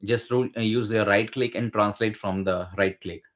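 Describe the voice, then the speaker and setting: Man, lower register, hesitant conversational explainer. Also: fairly even intonation, moderate pace. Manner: hesitant, many fillers and restarts. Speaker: guest sharing exam experience.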